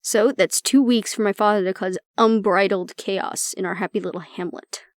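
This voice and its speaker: A woman's voice: medium pitch, fairly even intonation, moderate pace, no room sound, studio quality, close to an everyday voice. A woman acting in an audio roleplay as an exasperated, blunt agent, talking intimately and sardonically to the listener. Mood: worried, annoyed. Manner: blunt, sardonic, conversational, swearing. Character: exasperated woman agent venting about her stubborn, disapproving father.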